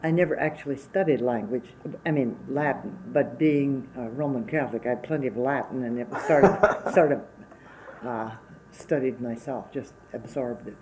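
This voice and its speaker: A woman's voice: rumbling low, normal speed, darkish tone, slight background noise, poor audio. A woman in her seventies from Wisconsin whose first language was Czech, recalling her working life plainly and unhurriedly in an oral history interview. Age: 70s.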